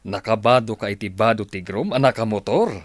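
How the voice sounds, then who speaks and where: A man's voice: lowish voice, lively intonation, a little fast, no room sound, close to an everyday voice. A man playing a practical, incredulous best friend of a groom in a radio-style drama.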